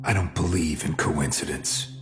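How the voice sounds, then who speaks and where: An actor playing a sceptical intelligence interrogator in a video game, cold, measured and probing. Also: male voice, rumbling low, music in the background. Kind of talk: dramatic cutscene acting.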